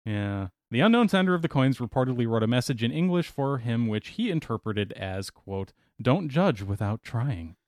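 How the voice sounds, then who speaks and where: A man's voice: medium pitch, big pitch swings, normal speed, few pauses, a little mellow, no echo, clean studio sound. A man co-hosting a casual podcast, reading news stories aloud with playful teasing.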